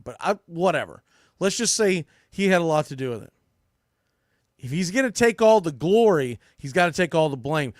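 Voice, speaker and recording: An American man with a fairly high voice hosts a sports podcast in a dry, close-sounding space. He delivers opinionated baseball commentary bluntly and emphatically, with some salty language. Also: no echo. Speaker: sports podcast host and play-by-play broadcaster.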